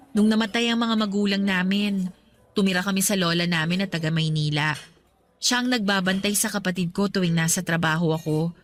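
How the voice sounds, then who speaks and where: A woman's voice: mid-range voice, close to an everyday voice. Actress as earnest young woman, guarded in love. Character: guarded, devoted young working woman turning down a suitor. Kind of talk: radio romance drama acting in Tagalog. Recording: soft music bed underneath. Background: music.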